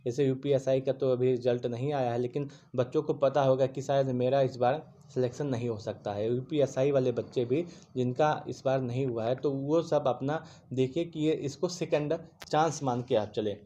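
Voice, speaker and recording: A man's voice: medium pitch, fairly even intonation, normal speed, few pauses, mellow, highs cut off, slight background noise. A man teaching exam aspirants in a casual spoken lesson, earnest and repetitive, often restarting words mid-sentence. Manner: earnest, repeats himself, restarts mid-word.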